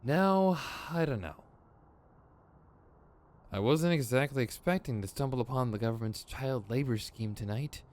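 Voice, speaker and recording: A man's voice: mid-range voice, a little slow, pauses often, darkish tone, close to an everyday voice. A man playing an exasperated but principled, protective villain in an audio roleplay, with a sardonic, emphatic, conversational delivery. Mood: resigned, wry. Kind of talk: ASMR roleplay acting. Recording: clear background noise.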